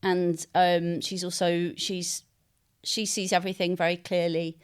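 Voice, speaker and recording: A woman novelist being interviewed, speaking conversationally and thoughtfully, often pausing to search for words. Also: fairly low pitch, flat delivery, clean tone.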